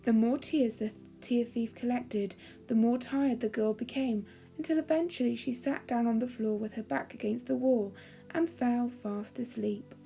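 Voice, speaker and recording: Bright-free, mellow woman reading a children's story. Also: a little high, moderate pace, creaky, soft background music.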